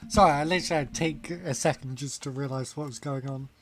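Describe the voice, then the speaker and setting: A man's voice: on the high side, fast, a bit bright, faint music behind. A man chatting casually on a game stream, rambling, laughing often and reading chat aloud. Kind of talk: casual live-stream chat while gaming.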